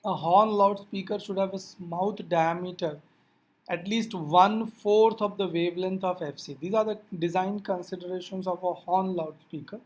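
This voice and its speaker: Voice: medium pitch, steady tone, normal speed, a little mellow, rough recording. A physics teacher giving an explanatory lecture, addressing learners directly with reminders in a didactic way.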